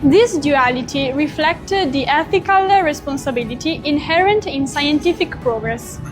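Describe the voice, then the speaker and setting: A woman's voice: sits high, animated, normal speed, over music, poor audio. A woman with an Italian accent reading scripted narration, carefully, with small hesitations. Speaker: student narrator.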